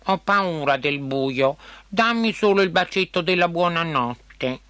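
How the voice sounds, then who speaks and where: A man voicing a sad, pleading enchanted frog in a storybook tale, with drawn-out, insistent words over music. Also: fairly high pitch, lively intonation, pushed and bright, no room sound, pitched above the performer's own voice. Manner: drawn-out, imploring delivery. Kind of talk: storybook character acting.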